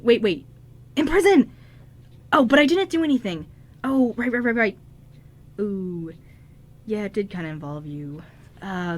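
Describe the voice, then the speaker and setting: A woman's voice: medium pitch, lightly acted. A woman playing a flustered, kind-hearted male ghost who stammers and rambles in an amateur radio drama. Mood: panicked, then confused.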